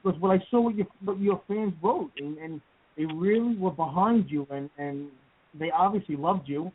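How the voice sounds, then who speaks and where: Stammering excitable male radio host. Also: high voice, moderate pace, breathy edge. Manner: excitable, stammers and repeats words. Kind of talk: casual radio chat. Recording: dry tinny phone-like line.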